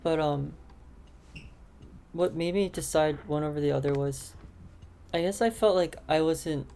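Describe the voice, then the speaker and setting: A woman's voice: low-pitched, measured pace, creaky, dark tone, slight background noise. A young woman guest on a podcast, answering hesitantly with lots of "like" and "um". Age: young adult. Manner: hesitant, many fillers like "like" and "um". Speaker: podcast guest, aspiring teacher. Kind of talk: casual interview answers.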